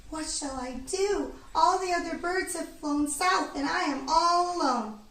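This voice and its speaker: A woman voicing a tiny female bird in a children's story, with a squeaky, chirpy, excitable voice. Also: unhurried. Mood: sad. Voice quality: squeaky little voice. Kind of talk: children's storybook read-aloud. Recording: roomy, distant, faint background noise.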